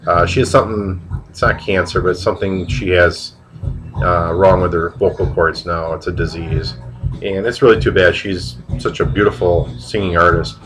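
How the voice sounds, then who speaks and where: Deep-voiced nasal man with a cold. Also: steady tone, low-quality recording. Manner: rambling, halting, many fillers and restarts. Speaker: vinyl record collector showing finds. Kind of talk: casual video vlog.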